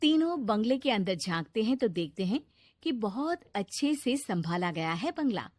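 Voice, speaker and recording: A woman's voice: mid-range voice, very animated, moderate pace, darkish tone, no echo, studio quality. A woman reading scripted narration for a children's cartoon story.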